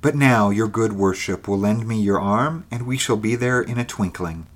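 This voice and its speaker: A man voicing an eager, gossipy old woman who is secretly a witch, speaking in slow, archaic phrases. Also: lowish voice, close to an everyday voice.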